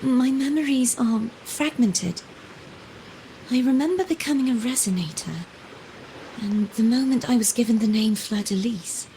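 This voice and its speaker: An actress playing a mysterious, elegant woman in a video game, composed and softly probing in a measured way. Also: a little high, slight background noise, lightly acted, low-quality recording. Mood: pensive. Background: game music.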